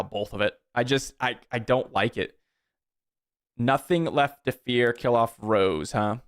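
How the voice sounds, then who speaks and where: A man in his thirties streaming a card game, casually chatting with viewers and thinking aloud about his plays. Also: medium pitch. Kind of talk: casual live-stream chat while playing.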